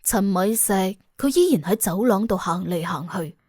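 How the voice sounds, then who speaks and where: A woman's voice: medium pitch, animated, moderate pace, darkish tone, breathy edge, dry recording, studio quality. A woman with a Cantonese accent reading a scripted suspense audiobook as its narrator.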